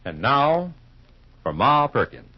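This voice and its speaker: A man announcing on 1950s radio, reading scripted pitches and narration in an emphatic, folksy, polished way. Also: sing-song, slow pace, husky, no room sound. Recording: muffled vintage radio recording.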